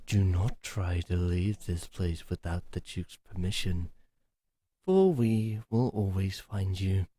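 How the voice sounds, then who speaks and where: A man's voice: sits low, slow pace, warm tone, dry recording. A man playing a chipper, theatrical male butler with a hidden menace in an audio roleplay drama, speaking formally.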